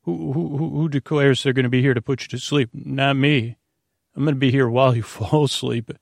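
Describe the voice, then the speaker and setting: A man with a creaky, fry-edged voice in a dry, close recording. He hosts a bedtime podcast, wandering through a rambling monologue. His delivery is hesitant, with stammered repeats and sentences that trail into tangents. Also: medium pitch, fairly even intonation, fairly quick, no room sound. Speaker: host of a bedtime sleep podcast.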